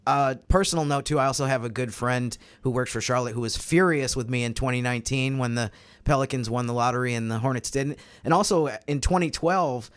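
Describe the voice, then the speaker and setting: A man's voice: mid-range voice, brisk, clean tone. A man on a sports podcast panel, talking casually in an explanatory, analytical way.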